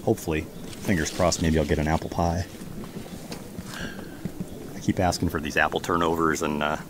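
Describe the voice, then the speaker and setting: A middle-aged American man giving a casual, unhurried, rambling garden tour, recorded outdoors on a handheld camera. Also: very deep voice, little pitch movement, noisy background. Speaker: homesteading vlogger. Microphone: camera held at arm's length.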